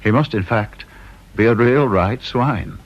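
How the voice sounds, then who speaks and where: A man's voice: mid-range voice, measured pace, natural voice. A middle-aged man with an English accent playing a caustic, domineering board chairman in a British radio drama, sardonic and officious. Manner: sardonic, officious, drawling emphasis. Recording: dull, lo-fi radio recording.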